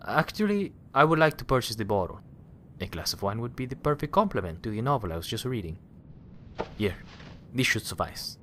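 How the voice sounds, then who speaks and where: A man playing a courteous, refined gentleman passenger on an ocean liner in a radio drama. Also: medium pitch, very animated, a little hiss or hum. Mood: polite. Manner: courteous, measured and genteel. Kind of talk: fan radio drama acting.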